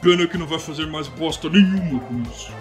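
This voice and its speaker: A man playing a violent, menacing tusked thug in an audio drama, threatening people with slow mockery. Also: on the high side, over loud music, close to an everyday voice. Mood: mocking.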